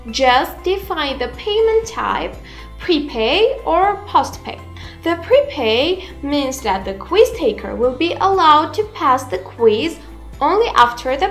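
A woman in her twenties giving a scripted, instructional software tutorial in a deliberate manner. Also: high voice. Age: 20s. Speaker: tutorial presenter. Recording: roomy echo, music underneath. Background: background music.

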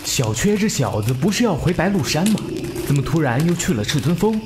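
A man voices a puzzled, anxious beggar-clan follower in an audio drama. His pitch jumps about expressively. Music is clearly heard behind him. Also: sing-song, fairly quick. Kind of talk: Mandarin audio drama acting.

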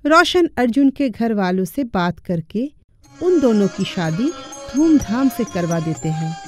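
A woman's voice: on the high side, big pitch swings, a little slow, no room sound, faint music behind. A woman narrator delivering scripted narration for a moral story.

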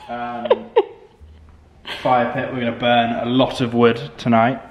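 A man's voice: mid-range voice, completely flat delivery, takes it slowly. A young adult man chatting casually in a dry, understated way as he shows people around. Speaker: vlogger's companion giving a house tour. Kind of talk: casual chat. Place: indoors, kitchen and large high-ceilinged house. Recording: echoey room with music behind.

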